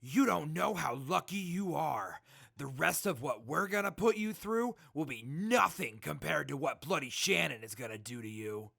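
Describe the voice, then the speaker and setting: A sneering wasteland raider thug. His voice is creaky and fairly high, swinging widely as he taunts and barks in audio drama style. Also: lively intonation, moderate pace, a bit bright, dry recording, studio quality, full character voice. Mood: menacing, taunting. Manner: taunting, barking. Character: sneering, brutish male raider henchman. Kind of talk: post-apocalyptic audio drama acting.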